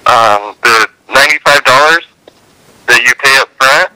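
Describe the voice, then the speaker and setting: A man's voice: mid-range voice, unhurried, no room sound. A man on a telephone line handling a customer service call in a matter-of-fact, firm way. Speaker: cleanup company phone representative. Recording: dry, sharp telephone line.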